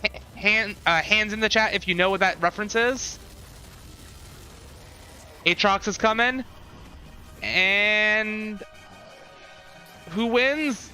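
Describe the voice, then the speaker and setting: Bright-voiced male streamer, musing casually. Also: high-pitched, slow pace, pauses often. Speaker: live streamer. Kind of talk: casual livestream commentary and reaction. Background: game showcase trailer audio and music.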